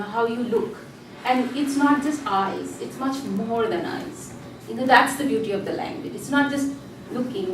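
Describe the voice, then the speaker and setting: Female teacher, higher voice, animated explainer; very reverberant room, distant mic. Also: noisy background. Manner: animated, explanatory, uses you know often. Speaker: instructor. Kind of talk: demonstrating and explaining in class. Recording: very echoey room from far off.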